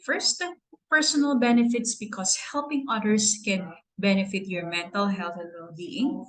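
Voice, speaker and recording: A woman with a Filipino accent speaks earnestly in a mid-pitched voice. She talks like a motivational speaker, with a gentle preachiness, and she stops now and then to ask her listeners rhetorical questions. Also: fairly even intonation, normal speed, low-quality recording. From Philippines. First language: Tagalog. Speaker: guest speaker. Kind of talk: motivational talk.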